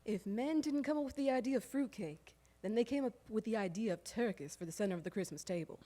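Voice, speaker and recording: A woman's voice: fairly high pitch, fast talker, dark tone, close to an everyday voice. A woman playing a harried, proud old-time household woman, telling a wry, emphatic theatrical monologue that lingers over its lists. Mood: wry, exasperated. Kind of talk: theatrical storytelling monologue on stage. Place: live stage performance in a recreation hall.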